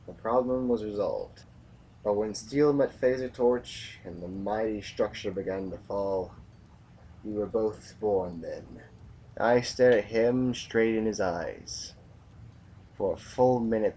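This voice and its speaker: Man acting a low-voiced, stoic sci-fi military commander. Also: warm tone, a little hiss or hum, natural voice, rough recording. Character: stoic, hardened male military commander, brooding and defiant. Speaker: voice actor in an audio drama. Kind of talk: dramatic sci-fi audio drama acting.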